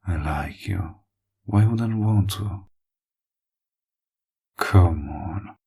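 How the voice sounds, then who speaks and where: A low-voiced male villain, acted by a man, speaks intimately to the listener in a roleplay audio. He is teasing, possessive and smug, taking his time with every line. His gravelly, husky murmur often sinks toward a whisper. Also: a little mellow, exaggerated voice.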